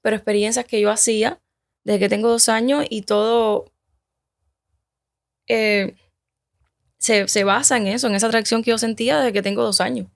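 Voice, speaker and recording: A woman in her thirties from Cuba shares her personal story in a relaxed conversation. Her voice has a gravelly, fry-laden edge, and she speaks haltingly, often restarting her sentences. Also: on the high side. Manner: hesitant, halting, with many restarts. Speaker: guest. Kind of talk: personal testimony in casual conversation.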